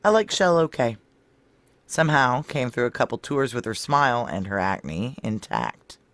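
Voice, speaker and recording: A woman's voice: very deep voice, big pitch swings, moderate pace, exaggerated voice. An actress playing a tough, sardonic, profane female military security chief in a noir audio drama, blunt and wry. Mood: wry, weary. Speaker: lead actor and narrator.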